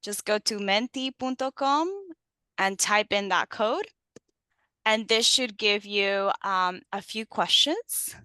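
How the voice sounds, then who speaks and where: Woman presenter from colombia, bright tone, crisp voice, explaining with ums. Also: mid-range voice, slow pace, no room sound. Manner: friendly, explanatory, with frequent ums. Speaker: guest speaker, teacher trainer. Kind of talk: online presentation.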